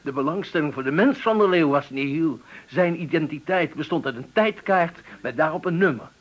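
An actor playing an embittered, eloquent factory worker of sixty-five, giving a dramatic, deliberate farewell speech in a radio play. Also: male voice, fairly high pitch, lively intonation. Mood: bitter, measured. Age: 60s. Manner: oratorical, dramatic, deliberate phrasing. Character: embittered eloquent 65-year-old male factory worker at his retirement.

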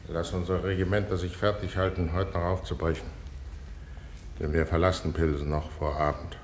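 An actor playing a stern, resolute middle-aged general and prince, giving terse, authoritative orders in a classical radio drama. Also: male voice, deep voice, level tone, normal speed, a little hiss or hum, natural voice. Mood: stern command. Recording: roomy echo, faint background ambience.

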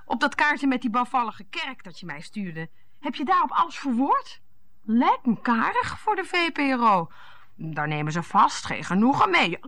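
An actress in a radio play, playing a jealous, sarcastic and indignant wife who speaks bluntly. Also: female voice, sits high, very animated, normal speed, a bit bright.

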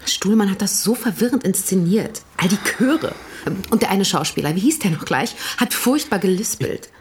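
A woman's voice: medium pitch, animated, normal speed, slight background noise, close to an everyday voice. An actress playing a tactful, evasive woman, the confidante of a young writer, in a German radio play.

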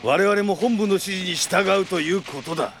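An actor playing a stern, pragmatic middle-aged police section chief in a Japanese audio drama, gruff and authoritative. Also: male voice, high-pitched, moderate pace, a bit bright, slight background noise.

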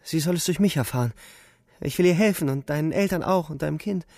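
An actor playing a weary, haunted young German soldier who narrates his war in a radio play, with a gravelly voice. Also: fairly high pitch, fast talker, a little mellow, no room sound, studio quality. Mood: exhausted, brooding. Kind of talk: literary radio play narration in first person. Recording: music under the voice.

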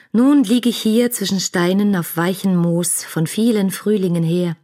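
A woman's voice: on the low side, a little mellow. An actress playing a dreamy, longing young woman reading lyrical letters in a radio play.

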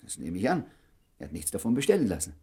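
An actor playing an inquisitive, easily flustered doctor in a German radio play, speaking with insistence and hesitation while feigning indifference. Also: male voice, low voice, wildly swooping pitch, fast, dark tone, breathy edge, exaggerated voice. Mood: awkward, feigned indifference.

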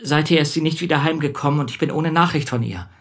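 Husky male voice, anxious withdrawn bookseller, hesitant short replies, subdued radio drama delivery. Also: on the high side, fast talker, fairly bright tone. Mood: anxious, evasive. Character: anxious, withdrawn male bookseller whose wife has gone missing. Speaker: actor in a radio play. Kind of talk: German radio play dialogue.

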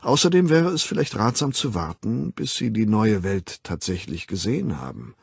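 A man voicing a squeamish, scheming civilised gentleman in an audiobook, polite, hesitant and puzzled. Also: fairly low pitch, sing-song, moderate pace, gravelly, husky, no room sound, clean studio sound, full character voice. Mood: bemused.

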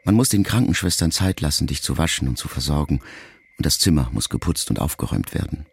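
A middle-aged actor playing a reflective grown son, a writer, who addresses his dying mother in a measured, confessional literary monologue for a radio play. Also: rumbling low, animated, creaky, slightly rough, exaggerated voice. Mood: sombre, brooding. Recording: music behind the voice. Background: music.

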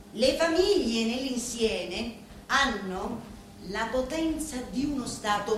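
An actress playing an intense, solemn woman in a radio drama, declaiming deliberately and emphatically. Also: fairly high pitch, a bit bright. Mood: declamatory, intense. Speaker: stage actress. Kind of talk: theatrical monologue in a radio drama. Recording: very echoey room with faint noise.

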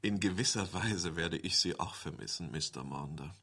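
An actor in a German radio drama playing a shrewd, dryly sardonic insurance manager, formal and businesslike, with a husky voice. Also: male voice, low-pitched, normal speed, fairly bright tone, full character voice.

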